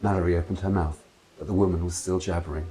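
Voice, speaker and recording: A man reads a children's story aloud in an expressive, deliberate storytelling manner. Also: deep voice. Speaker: children's author reading aloud. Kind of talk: dramatic storybook narration. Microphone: clip-on lapel mic.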